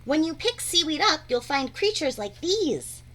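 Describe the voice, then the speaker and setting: Woman, children's read-aloud storyteller. High-set voice, unhurried pace, expressive teacher-like phrasing.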